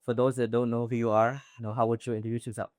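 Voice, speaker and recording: A man's voice: mid-range voice, little pitch movement, rapid-fire, darkish tone, no room sound, clean studio sound. A man interviewing a guest with short, prompting questions.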